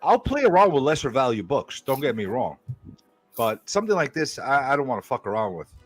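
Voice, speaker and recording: A man's voice: mid-range voice, big pitch swings, brisk, no room sound, soft background music. A man hosting a casual live unboxing stream, laid-back and jokey, swearing now and then. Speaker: channel host.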